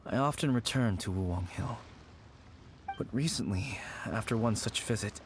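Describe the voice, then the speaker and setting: A man's voice: lowish voice, normal speed, a little hiss or hum, natural voice. An actor playing an anxious, mournful man in an anime video game, speaking in a hesitant, troubled way.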